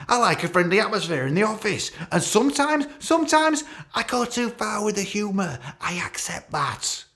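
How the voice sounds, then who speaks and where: An actor playing a pompous, self-pitying middle-aged British senior police officer making a halting, passive-aggressive speech in a radio play. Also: male voice, a little high, pushed and bright, exaggerated voice. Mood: aggrieved, self-righteous.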